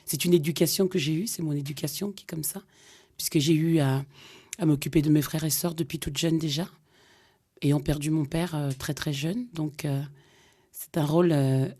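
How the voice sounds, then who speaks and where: A woman's voice: deep voice, steady tone, normal speed, long pauses, darkish tone, slightly rough. A middle-aged woman from Cameroon, a singer being interviewed on radio, speaking hesitantly with many fillers. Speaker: guest singer. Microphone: studio microphone.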